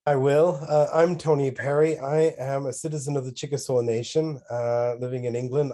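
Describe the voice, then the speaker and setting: A man's voice: medium pitch, warm tone. A man speaking earnestly as a panel guest, with frequent restarts. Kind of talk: casual panel discussion.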